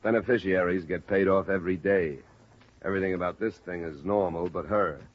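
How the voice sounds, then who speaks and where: Deep-voiced hard-boiled radio investigator. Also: male voice, fairly quick. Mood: businesslike. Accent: American. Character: dry, stubborn male insurance investigator. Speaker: lead actor and narrator of a detective series. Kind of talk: 1950s radio detective acting. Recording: muffled, noisy old radio broadcast.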